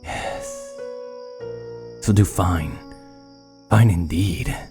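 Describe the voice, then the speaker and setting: A man acts out an obsessive young medical scientist in a horror audio drama. His low voice is imperious and precise, full of cold arrogance, with faint music behind. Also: drawn-out words, full character voice. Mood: smug.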